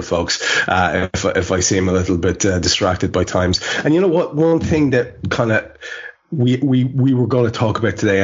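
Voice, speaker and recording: A man's voice: on the low side, breathy edge, highs cut off. A middle-aged man hosting a podcast in casual chat, with a wordy, colourful way of talking. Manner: wordy, colourful turns of phrase. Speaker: podcast host.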